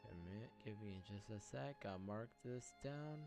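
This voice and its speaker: A man's voice: on the low side, moderate pace, creaky. A man giving casual gameplay commentary, thinking aloud and often hesitantly trailing off mid-thought. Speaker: gamer doing a playthrough. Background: loud video game music.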